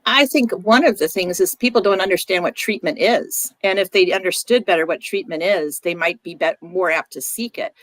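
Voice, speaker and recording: A woman guest speaks earnestly in a live online discussion. Her voice is lower than most women's. The recording is dry, with no room sound. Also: moderate pace, few pauses, a bit bright, dry recording. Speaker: guest expert. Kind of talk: conversational contribution.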